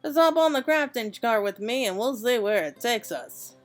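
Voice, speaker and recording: A woman's voice: fairly high pitch, expressive, normal speed, soft background music, lightly acted. Woman reading as folksy veteran master craftsman. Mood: plain-spoken, encouraging. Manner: folksy dialect wording with "me" and "ye". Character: gruff, plain-spoken veteran male master craftsman.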